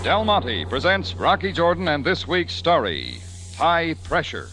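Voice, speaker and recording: A man's voice: fairly high pitch, huge pitch swings, a little slow, background noise. A man announcing an old-time radio show in a polished, declamatory style. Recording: old radio broadcast with music.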